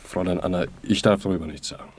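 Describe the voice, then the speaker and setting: Pathetischer, lüsterner Fanatiker mit rauer Stimme. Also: male voice, low voice, steady tone, fast. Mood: self-important. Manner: declamatory, sloganeering. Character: pompous, lecherous fascist druggist courting a young woman. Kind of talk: radio play acting.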